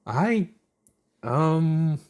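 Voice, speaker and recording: A man's voice: fairly high pitch, animated, very slow, a little mellow, pitched above the performer's own voice. A man voicing a defensive, easily flustered young monster girl for a story. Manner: indignant, easily flustered, stammering.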